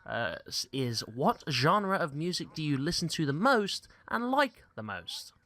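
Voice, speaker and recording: A man hosting a casual podcast, relaxed and full of fillers, reading out listener questions. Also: fairly high pitch, measured pace. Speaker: podcast host. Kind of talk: casual chat.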